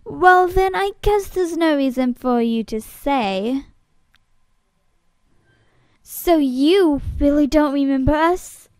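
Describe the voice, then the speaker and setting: A woman's voice: high voice, expressive, slow, long pauses, a little mellow, no room sound. A woman acting a scornful, hot-tempered young woman in an amateur audition for a drama series, accusing and emphatic. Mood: cold.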